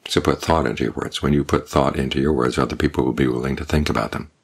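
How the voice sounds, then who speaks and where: An older man, a Buddhist monk, giving a calm, measured evening Dhamma talk with unhurried pauses. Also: very deep voice, gravelly, breathy edge. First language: English.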